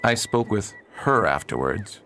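A man's voice: on the low side, expressive, measured pace, pushed and bright, exaggerated voice. A man playing an earnest small-town preacher. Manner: earnest, pleading. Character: earnest, upright male preacher, husband. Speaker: reverend at a mission. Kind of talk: amateur radio drama.

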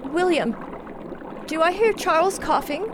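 A woman's voice: very high-pitched, measured pace, very noisy. A woman playing a weak, ill, worried mother calling out in a period radio drama. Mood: feeble worry. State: coughing, ill.

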